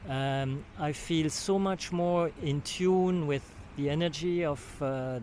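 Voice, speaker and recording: Slow, hesitant older man with a French accent. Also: a little high, darkish tone, slight background noise. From Switzerland. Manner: hesitant, searching for words, many restarts. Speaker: retreat participant giving a testimonial. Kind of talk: spoken testimonial. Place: outdoors at a sandy beach camp. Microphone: handheld recorder held near the mouth.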